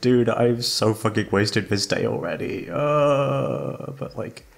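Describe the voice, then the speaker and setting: A man's voice: medium pitch, lively intonation, slow pace, vocal fry. A young man in casual unscripted chat to listeners, rambling and self-deprecating, with loose swearing. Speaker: audio roleplay voice actor.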